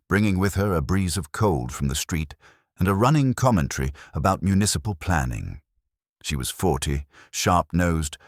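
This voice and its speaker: A man's voice: extremely low pitch, no echo, clean studio sound. A man reading a scripted first-person detective story as an audiobook narrator.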